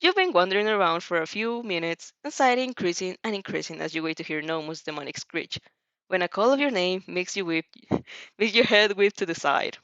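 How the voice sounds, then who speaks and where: A woman's voice: fairly low pitch, animated, fairly quick, a bit bright, no room sound. Female audiobook-style fanfic narrator, dramatic delivery with occasional stumbles, dry but slightly muffled lo-fi sound. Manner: dramatic, stumbles over words and repeats them. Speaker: fan fiction reader and dubber. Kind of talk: expressive audio fanfiction narration with character voices.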